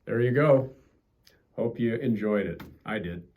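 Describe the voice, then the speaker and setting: A man's voice: lowish voice, unhurried, phone-quality sound. An older man with an American accent, a pastor reading a children's storybook aloud and chatting casually.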